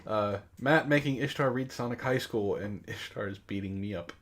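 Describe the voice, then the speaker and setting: A man's voice: on the low side, normal speed. A man hosting a casual comedic book club podcast, bantering in a mock-exasperated way.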